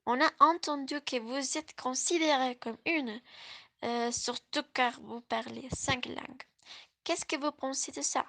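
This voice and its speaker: A woman hosting a scripted interview, reading her questions slowly. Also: high voice, sharp tone. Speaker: host.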